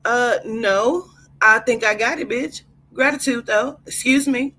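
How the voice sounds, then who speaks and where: A woman's voice: a little high, steady tone, moderate pace, creaky, husky, pitched above the performer's own voice, low-quality recording. A woman voicing an exasperated, foul-mouthed hotel guest in a told story, indignant, sassy and blunt. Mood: sassy, irritated.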